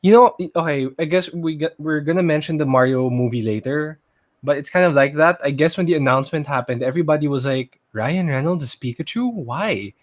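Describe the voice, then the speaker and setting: Guy cohosting a podcast, medium pitch, just chatting and agreeing along. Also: a little fast. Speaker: podcast co-host. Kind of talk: casual podcast chat.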